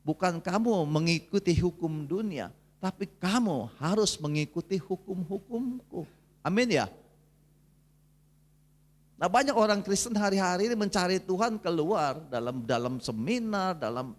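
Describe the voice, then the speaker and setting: A man's voice: high voice, lively intonation, a little slow. A man of about seventy, preaching a conversational, folksy sermon and checking in with his congregation. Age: 69, nearly 70.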